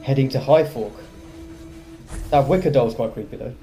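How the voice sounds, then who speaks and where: A man's voice: medium pitch, normal speed, mellow. A man giving casual gameplay commentary as host of a gaming video. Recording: faint game music behind.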